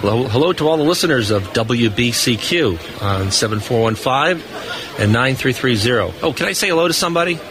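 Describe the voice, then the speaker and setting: A man's voice: medium pitch, animated, a little fast. Man, middle-aged or older, engineer guest, casual live interview chat, noisy event hall broadcast. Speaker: radio guest, test engineer. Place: convention hall booth. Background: busy convention floor noise.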